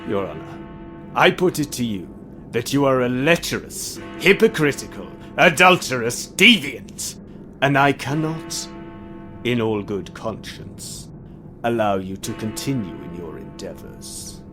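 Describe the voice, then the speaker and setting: An actor playing a sinister, mocking Victorian gentleman killer, slow and theatrical, with falsely polite menace. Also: medium pitch, expressive. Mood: menacing. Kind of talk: Victorian stage melodrama acting. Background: music.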